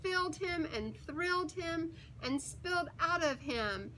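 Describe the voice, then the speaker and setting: A woman reading a children's picture book aloud in an expressive, deliberate and emphatic storytelling manner. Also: very high-pitched, a little hiss or hum, poor audio. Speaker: story time reader.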